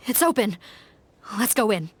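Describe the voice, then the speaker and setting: High-voiced, dutiful police captain. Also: female voice, very animated, a little slow, fairly bright tone, slight background noise. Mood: resolute. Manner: earnest, authoritative. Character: dutiful, commanding female police captain, serious. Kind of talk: anime-style video game voice acting.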